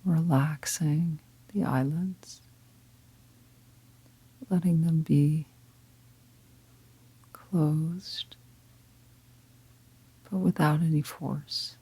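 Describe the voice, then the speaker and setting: A woman leading a guided meditation in a calm, gentle, breathy half-whisper, unhurried and with long pauses. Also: sits low, no room sound, rough recording. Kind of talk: guided meditation, slow soft-spoken instruction.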